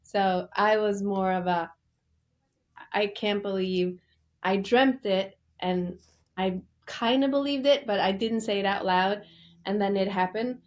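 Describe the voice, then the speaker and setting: A woman's voice: medium pitch, steady tone, measured pace, dull audio. A woman from Norway, an author interviewed as a guest, telling her story with enthusiasm and loose fillers.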